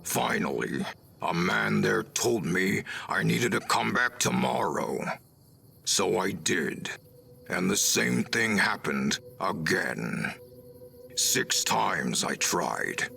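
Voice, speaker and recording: Very deep, gravelly super mutant. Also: male voice, expressive, pushed and bright, faint music behind, exaggerated voice. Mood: weary, forlorn. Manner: slow, halting, deliberate delivery. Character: gentle, sorrowful old super mutant veteran soldier. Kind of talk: video game character dialogue.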